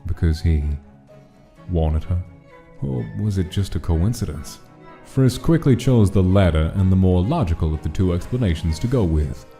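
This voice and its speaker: A man's voice: sits low, expressive, normal speed, warm tone. A man reading a scripted, dramatic story as its narrator. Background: background music.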